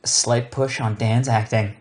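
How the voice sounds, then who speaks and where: Man, co-host, casual commentary, teasing, playful, mid-pitched voice. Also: fairly even intonation, unhurried. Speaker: show co-host.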